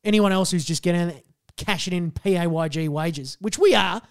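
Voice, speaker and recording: An Australian man co-hosting a podcast in casual chat, hoarse after losing his voice, swearing and telling stories animatedly. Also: high-pitched, normal speed. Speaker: podcast co-host.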